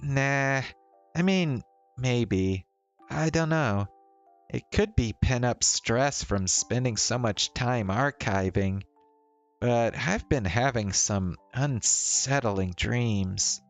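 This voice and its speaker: A man's voice: mid-range voice, slow, soft background music, voice pushed up. A man voicing a tired, teasing and troubled young woman in a visual novel, with a casual, hesitant delivery. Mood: weary, uneasy. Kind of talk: visual novel dialogue read-aloud.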